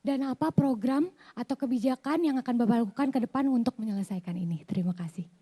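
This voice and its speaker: A young woman, slightly hoarse, politely putting a formal question from the audience. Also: fairly high pitch, quick pace, dark tone. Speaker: audience member asking a question.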